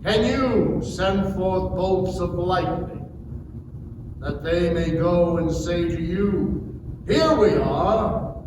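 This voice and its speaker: A man playing a majestic, stern God in a dramatic scripture reading, declaiming deliberate challenges. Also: high-pitched, recorded from a distance, full character voice. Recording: echoey hall from afar, noisy.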